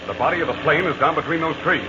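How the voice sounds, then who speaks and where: An actor playing a calm, commanding heroic pilot in 1940s radio acting on an old recording. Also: male voice, a little high, very fast, close to an everyday voice. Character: Captain Midnight, calm heroic male pilot. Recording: old noisy lo-fi radio transcription.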